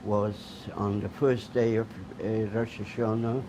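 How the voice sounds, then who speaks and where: Slow, halting elderly man from Poland. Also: fairly low pitch, flat delivery, noisy background. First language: Polish. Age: older. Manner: halting, many fillers and restarts. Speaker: Holocaust survivor giving testimony. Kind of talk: oral history testimony.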